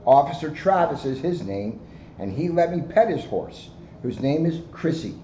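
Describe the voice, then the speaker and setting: Higher-voiced man reading aloud, roomy sound. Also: lo-fi sound. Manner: deliberate, expressive, child-directed. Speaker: police officer reading to children. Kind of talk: storybook read-aloud. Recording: roomy, band-limited, faint background noise.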